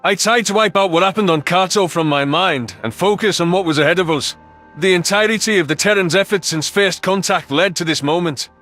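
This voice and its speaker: A man's voice: high voice, fairly quick, a bit bright, soft background music. A man playing a thoughtful alien soldier who narrates his own story in a scripted sci-fi audio drama. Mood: reflective, serious.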